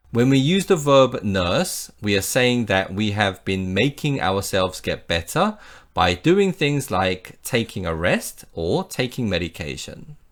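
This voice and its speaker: Slow, lively-pitched male English teacher. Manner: clear, patient, models example sentences for repeating. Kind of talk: slow scripted English lesson.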